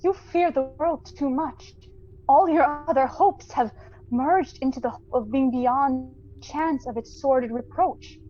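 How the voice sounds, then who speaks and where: A woman playing a sorrowful, dignified young woman in an audio drama, speaking gently and earnestly in measured phrases. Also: sits high, highs cut off. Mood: sad, resigned. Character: sorrowful, dignified young fiancée releasing her beloved. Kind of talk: theatrical audio drama acting.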